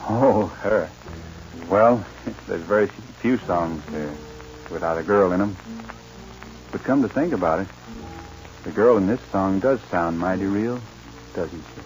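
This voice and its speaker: An actor playing a headstrong, daring young cowboy in a 1940s radio western. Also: male voice, sits low, unhurried, pauses often, darkish tone. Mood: musing. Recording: dull old radio recording, faint music.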